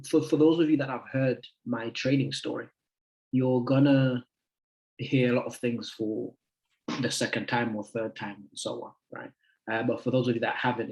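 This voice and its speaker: Guest speaker, 20s male, easygoing, halting delivery, middle pitch. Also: a little mellow, rough recording. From United Kingdom. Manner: friendly, chatty, halting with many fillers. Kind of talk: casual talk to an online group.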